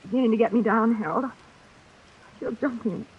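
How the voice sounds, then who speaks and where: An actress playing a shrewd, tough young heroine, wry and husky, in an old-time radio suspense drama. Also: female voice, fairly high pitch, normal speed, a little hiss or hum, close to an everyday voice. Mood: shaky, weary. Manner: wry, plain-spoken. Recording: dull vintage radio recording.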